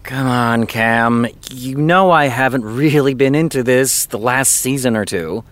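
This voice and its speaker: An American man acting as a stubborn, high-strung TV writer in a fiction podcast, emphatic and precise in delivery. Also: measured pace, a bit bright, close to an everyday voice. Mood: weary. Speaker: voice actor. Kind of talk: naturalistic fiction podcast dialogue.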